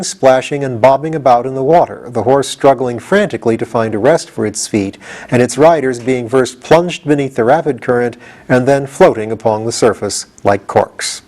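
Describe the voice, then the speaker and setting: Male audiobook reader, medium pitch, expressive scripted storytelling.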